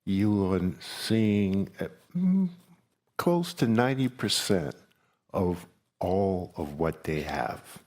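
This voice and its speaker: A man in his seventies from the South Carolina coast, whose first language is Gullah, gives a retreat teaching talk slowly and haltingly, with long pauses. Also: low-pitched, animated, a little mellow. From South Carolina coast, United States. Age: 70s. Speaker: meditation teacher. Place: meditation hall.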